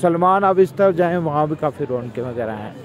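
A man's voice: a little high, animated, a little fast. A young man vlogging casually as he walks a busy night market, chatting and calling out to his friend. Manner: chatty, calls out to his friend while walking. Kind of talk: casual walking vlog. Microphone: phone held at arm's length. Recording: busy crowd noise behind. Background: crowd chatter and traffic.

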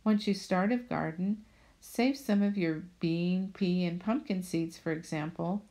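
Woman, voice-over narration, steady read, slightly low pitch, warm mellow tone. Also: a little slow. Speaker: budget vlogger.

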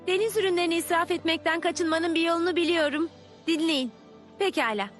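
A woman's voice: very high-pitched, fairly quick, soft background music. A woman voicing a confident young pupil explaining ideas in a dubbed educational cartoon.

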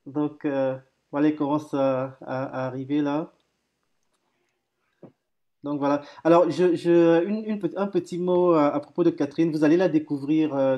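A man's voice: fairly high pitch, lo-fi sound. A man in his thirties hosting a live video call, cheerful and hesitant, stumbling over repeated words and fillers. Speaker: host. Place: home office room.

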